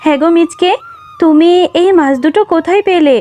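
A woman's voice: extremely high pitch, fairly quick, dark tone. A woman voicing a caring, agreeable mother fox, a village housewife in a children's cartoon. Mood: warm, agreeable. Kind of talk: Bengali children's cartoon dialogue.

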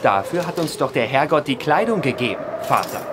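An actor playing a reluctant, cheeky young farm son in a radio drama, sardonic and protesting. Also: male voice, medium pitch, big pitch swings, fairly quick, lightly acted. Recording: noisy ambient background.

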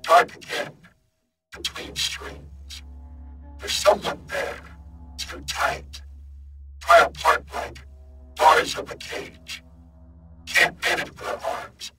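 A performer voicing a lost, disembodied human mind, whispering in broken fragments with sudden loud outbursts, panicked and confused. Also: medium pitch, completely flat delivery, slow, long pauses, over music, natural voice. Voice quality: mostly whispered, breathy. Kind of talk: horror audio reading.

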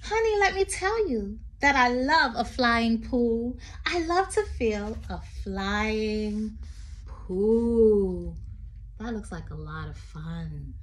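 Slow, high-voiced woman reading to children. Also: expressive. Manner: sing-song, drawn-out emphasis with chatty asides. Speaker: teacher reading to her class. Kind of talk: expressive picture-book read-aloud of a poem for young children. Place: small room indoors. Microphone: phone at arm's length.

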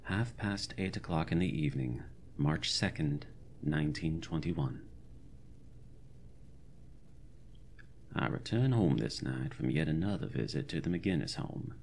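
A man's voice: rumbling low, gravelly, darkish tone, a little hiss or hum, exaggerated voice. A man playing a pompous, wry country doctor of 1919, dictating a diary in florid, old-fashioned language for a horror audio drama. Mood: formal.

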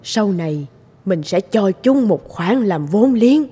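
A woman's voice: medium pitch, expressive, takes it slowly, mellow. A woman voicing a kindly, forgetful old homeowner in a cartoon, chatty and grandmotherly. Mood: fond, hopeful. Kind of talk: cartoon voice acting. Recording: music behind the voice.

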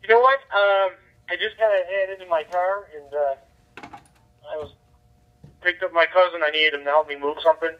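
A man's voice: sits high, fairly even intonation, moderate pace, long pauses. A man chatting casually as an amateur radio operator over a digital two-way radio. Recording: muffled lo-fi digital radio transmission.

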